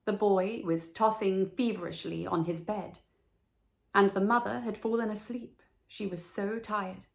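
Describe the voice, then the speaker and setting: A woman reading a children's story as narrator, measured and expressive. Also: medium pitch, vocal fry.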